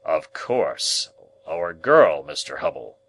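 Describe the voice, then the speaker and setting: A man voicing a sanctimonious, moralizing man who speaks in a solemn, deep, preachy voice. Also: unhurried, pushed and bright, a little hiss or hum, exaggerated voice.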